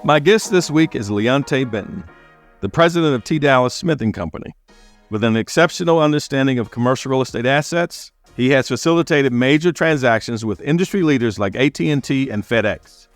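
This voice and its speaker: A man's voice: mid-range voice, animated, a little fast, faint music behind. A man hosting a podcast interview, talking conversationally with his guest. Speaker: podcast host.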